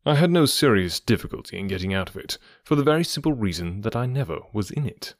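A man voicing a calm, urbane Victorian gentleman detective with a nonchalant manner, in an audiobook story. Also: on the low side, quick pace, dry recording, clean studio sound. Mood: composed, matter-of-fact. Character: tall, keen, composed Victorian gentleman detective.

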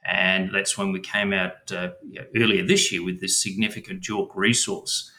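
A man's voice: low-pitched, flat delivery, moderate pace, pushed and bright, roomy sound. A middle-aged Australian man with an Australian accent, answering interview questions as a mining company chief, hesitant and full of fillers and restarts.

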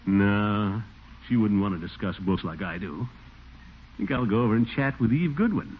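An American actor playing a pompous, excitable middle-aged small-town bachelor in an old-time radio comedy, with a blustery, theatrical delivery and nervous chuckles. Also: male voice, on the low side, moderate pace, close to an everyday voice. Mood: self-satisfied musing. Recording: thin, narrow-band vintage radio recording with noise.

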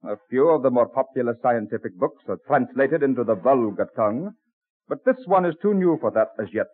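Actor as husky, affable bookseller, tinny radio. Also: male voice, mid-range voice, animated, no room sound, natural voice. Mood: helpful, measured. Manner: affable, measured, bookish. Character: friendly colonial Philadelphia bookseller, man, measured and helpful. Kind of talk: old-time radio drama acting. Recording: tinny band-limited old radio recording.

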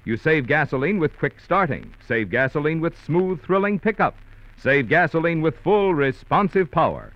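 A man's voice: on the high side, very animated, moderate pace, dry recording. A man announcing a scripted vintage radio advertisement with a polished salesman's delivery. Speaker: commercial announcer. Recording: muffled old radio recording.

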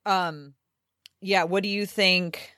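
A woman's voice: lowish voice, fairly even intonation, slow, pushed and bright, no room sound, studio quality. A woman co-hosting a sports podcast in casual chat, thinking aloud a bit tentatively.

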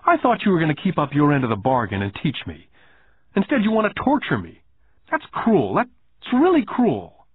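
A man voicing an indignant nine-year-old boy who confronts his boss, high, pleading and whiny. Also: wildly swooping pitch, moderate pace, breathy edge, no room sound, exaggerated voice. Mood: angry. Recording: tinny phone-like band.

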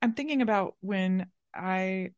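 A woman's voice: medium pitch, unhurried, no echo, clean studio sound. A woman hosting a casual interview, chatting in an informal, playful and candid way. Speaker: interviewer, podcast host. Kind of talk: casual chat.

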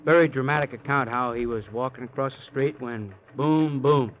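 An actor playing a breezy, sardonic police detective sidekick in a 1950s radio crime drama, casual, clipped and drily needling. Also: male voice, medium pitch, moderate pace, close to an everyday voice. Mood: breezy, dry. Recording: old radio, phone-like band, faint noise.

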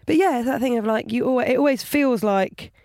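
A woman co-hosting a podcast in casual chat, hoarse from a bad cough, with teasing, dry quips. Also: fairly high pitch, a little fast, a little mellow, no room sound. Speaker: podcast co-host.

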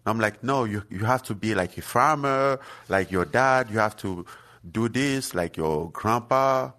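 Deep voiced cameroonian guy, lots of uh and like, earnest chat. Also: expressive, a bit bright. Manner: halting, many fillers and restarts, earnest. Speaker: guest fighter. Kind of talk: casual interview chat.

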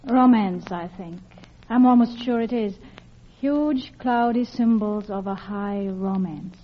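A woman's voice: medium pitch, slow. An actress in a 1940s radio drama playing a brittle, anxious English housewife who hides her distress behind fluttery reassurances. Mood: helpful, subdued. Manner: fluttery insistence, brushing off concern. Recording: dull vintage radio broadcast recording.

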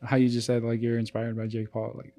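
A man in his twenties talks as a podcast co-host. His pitch is average for a man, and he speaks in an informal, conversational way. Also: completely flat delivery, a little fast, mellow. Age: 20s. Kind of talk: casual chat.

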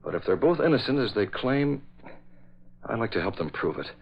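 Low-voiced resolute crime fighter, old radio. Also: male voice, expressive, creaky, husky, exaggerated voice. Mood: thoughtful, resolute. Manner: measured, authoritative, thoughtful. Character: urbane young crime-fighting newspaperman, calm and resolute. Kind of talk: 1940s radio acting. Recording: dull vintage radio recording.

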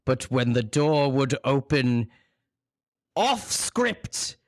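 A man playing a courtly, theatrical old-time horror film actor, speaking in a deliberate, grandiose and formal way in a comedy interview. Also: mid-range voice, very animated, no echo, clean studio sound. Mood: wistful, grandiose. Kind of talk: improvised comedy interview in character.

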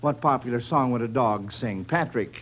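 A man's voice: mid-range voice, normal speed. A man hosting an old-time radio quiz for children, repeating and affirming the answers he gets. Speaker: quiz show host. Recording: dull, lo-fi vintage radio broadcast.

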